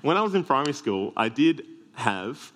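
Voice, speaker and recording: An Australian man with a deep voice preaching a sermon, earnest with self-deprecating humorous asides. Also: sing-song, moderate pace, a bit bright.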